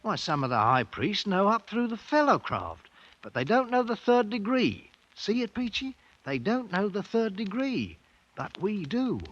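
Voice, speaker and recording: High, bright, swaggering British ex-soldier. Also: male voice, very animated, measured pace, muffled recording, exaggerated voice. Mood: scheming, fervent. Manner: commanding, boastful, colloquial. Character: bold, ambitious British ex-soldier turned self-made king. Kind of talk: 1950s radio drama acting.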